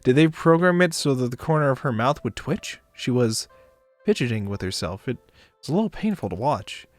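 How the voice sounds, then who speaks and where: A man reading a visual novel aloud as a streamer, with expressive narration. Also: mid-range voice, brisk, no room sound. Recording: dry close mic, background music.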